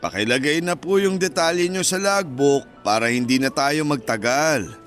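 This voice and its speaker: Male security guard, firm, polite, rule-bound, somewhat high voice, Filipino radio drama. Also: lively intonation, normal speed, a bit bright, soft background music. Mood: skeptical.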